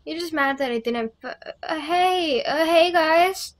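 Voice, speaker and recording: A woman's voice: high voice, unhurried. An actress playing a defiant, snippy young woman in an audio drama. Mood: protesting.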